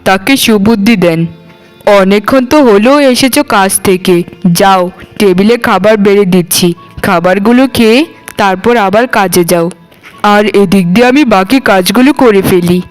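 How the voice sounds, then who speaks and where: A woman's voice: medium pitch, normal speed, soft background music, close to an everyday voice, low-quality recording. A woman playing a dutiful, sensible housewife, the eldest sister-in-law, speaking earnestly in scripted cartoon dialogue. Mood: resigned.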